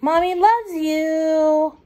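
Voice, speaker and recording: A woman voicing a tender, patient mother raccoon in a children's storybook, gentle and reassuring. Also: high voice, drawn-out words, voice pushed up. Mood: loving.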